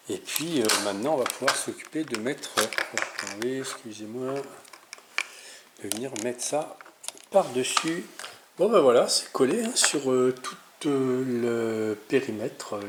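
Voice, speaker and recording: A man giving an informal hands-on DIY tutorial as a channel host, speaking in an improvised, hesitant way and often correcting himself. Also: mid-range voice. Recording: roomy echo, clear background noise, clicks.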